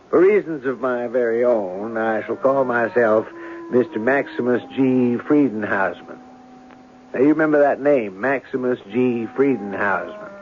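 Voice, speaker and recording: An actor playing a wry, folksy author who narrates a tale in a radio drama. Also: male voice, medium pitch, natural voice. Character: wry, folksy, unhurried storyteller, a famous author. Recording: tinny old radio broadcast.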